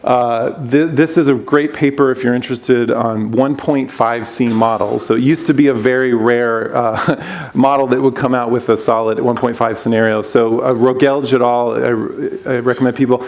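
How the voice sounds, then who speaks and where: A man giving a conference presentation on climate solutions, enthusiastic, with loose, quick phrasing. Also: medium pitch, steady tone. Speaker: conference speaker.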